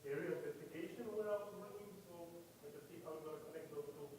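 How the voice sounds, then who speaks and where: A man's voice: fairly high pitch, quick pace, a little mellow. A man in a conference audience, giving an unscripted answer from well away from the microphone. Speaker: audience member. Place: conference session room, away from the mic. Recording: faint, distant, reverberant hall, slight noise.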